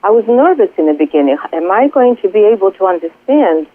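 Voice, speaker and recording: A woman from Greece with a Greek accent, a spiritual coach speaking earnestly and haltingly as a guest on a radio interview. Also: medium pitch, big pitch swings, no room sound. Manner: earnest, halting, many pauses and fillers. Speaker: spiritual coach and Reiki healer. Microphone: telephone. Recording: tinny phone line, dry.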